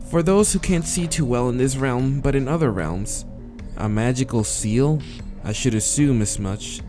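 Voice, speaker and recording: A man playing a visual novel, reading the dialogue aloud and muttering and swearing as he picks answers. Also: fairly low pitch. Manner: hesitant muttering with swearing, then steady reading. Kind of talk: let's play, reading dialogue and reacting.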